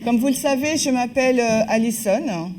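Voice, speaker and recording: A woman working as a live interpreter, speaking in halting phrases with frequent hesitations and restarts. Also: on the high side, background noise. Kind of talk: consecutive spoken translation of a talk.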